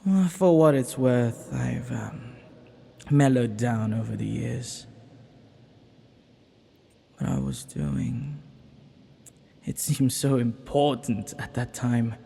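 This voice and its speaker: A man's voice: mid-range voice, gravelly, warm tone, slightly rough, exaggerated voice. A man playing an ancient banished villain, a magical being who is flirty, menacing and wistful, in a theatrical, musing audio roleplay monologue. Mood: rueful, wistful. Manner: theatrical, musing, unhurried with dramatic pauses. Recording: long echoing reverb.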